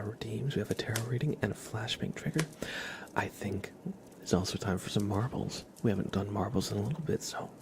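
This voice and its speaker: A man speaking softly and gently in a casual ASMR livestream style, often drifting into a whisper. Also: fairly low pitch, gravelly. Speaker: ASMR live streamer, host. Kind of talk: soft-spoken ASMR livestream, casual chat and tarot reading.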